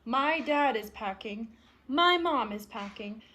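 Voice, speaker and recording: A woman's voice: a little high, animated, slow pace, bright, forceful tone, full character voice. A woman reading the part of a stubborn, indignant young boy from a picture book, with emphatic delivery. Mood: defiant. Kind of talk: picture-book read-aloud.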